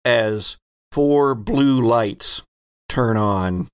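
A man acting as game master, narrating a tabletop role-playing scene in a halting, deliberate way. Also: mid-range voice, clear, resonant tone.

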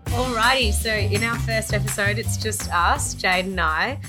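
A woman's voice: medium pitch, measured pace, music in the background. An Australian woman in her twenties, co-hosting a podcast in a chatty, rambling way and laughing easily. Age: 20s. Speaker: podcast co-host. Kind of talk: casual chat.

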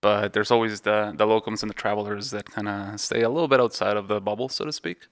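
A low-pitched man in his thirties from Mexico with a Mexican Spanish accent, talking as a podcast guest. His voice has a gravelly edge but stays smooth and resonant. He speaks easily, laughs often and pads pauses with "uh," on a dry close mic. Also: steady tone. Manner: easygoing, laughs often, many "uh" fillers. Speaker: guest radiologist. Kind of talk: casual interview conversation. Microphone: podcast microphone.

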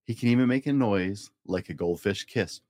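A man reading a rhyming children's picture book aloud in a playful, expressive way, as a storytime host. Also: lowish voice, normal speed, dry recording. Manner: playful, acts out animal and object sound effects.

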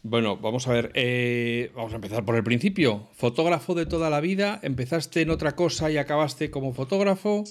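A man's voice: mid-range voice, brisk, few pauses. A middle-aged man hosting a podcast interview, chatty and jokey, nudging his guest along. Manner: chatty, jokey, encouraging backchannels. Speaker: podcast host.

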